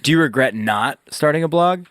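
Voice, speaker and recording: A man's voice: on the high side, lively intonation, moderate pace, fairly bright tone, dry recording, studio quality. A man chatting casually as the co-host of a podcast. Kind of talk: casual chat.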